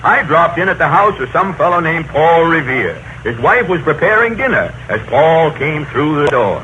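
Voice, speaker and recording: A man playing a grumpy, put-upon but soft-hearted old Santa Claus with folksy turns of speech, in 1930s radio comedy style. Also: fairly high pitch, very animated, moderate pace, dull audio. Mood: weary, storytelling. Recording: noisy 1930s radio broadcast recording.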